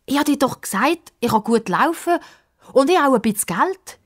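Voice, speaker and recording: A woman voicing an eager, impatient, excitable young girl in a children's story. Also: sits high, voice pushed up. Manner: excitable, quick to interrupt.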